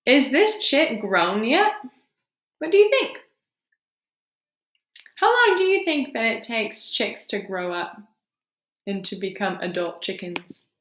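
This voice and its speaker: A woman's voice: mid-range voice, measured pace, long pauses, a little hiss or hum. A woman teacher doing an interactive read-aloud for young children, explaining simply and expressively with questions along the way. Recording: roomy, echoing sound.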